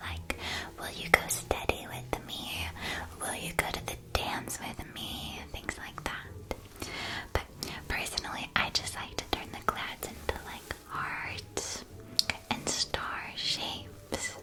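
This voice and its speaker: A woman playing a friendly, sweet magical candy shop keeper in a whispered ASMR roleplay, with a soft, unhurried and gently enthusiastic manner. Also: natural voice. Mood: playful, fond.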